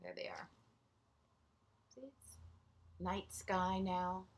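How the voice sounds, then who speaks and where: A woman teacher reading a picture book aloud to young children, gently pausing for chatty asides and questions to her listeners. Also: mid-range voice.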